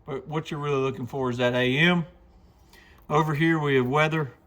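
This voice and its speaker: A man's voice: on the high side, fairly even intonation, normal speed, background noise. A man giving a casual, plainspoken hands-on product walkthrough, explaining things step by step in a folksy way. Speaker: radio shop owner presenting a product demo. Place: radio shop, indoors.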